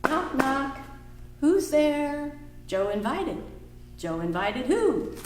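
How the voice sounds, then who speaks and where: A woman's voice: sits high, soft background music. A woman reading a picture book aloud to small children at a library storytime, singing the refrains. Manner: slow, singsong, animated for small children. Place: library room. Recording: echoey room, faint background noise.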